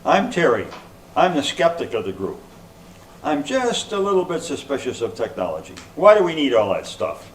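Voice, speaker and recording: A man's voice: a little high, huge pitch swings, moderate pace. A man playing a grumpy, suspicious skeptic in amateur readers theater, complaining with dry sarcasm. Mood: grumbling, sarcastic. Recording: echoey room from far off, faint noise.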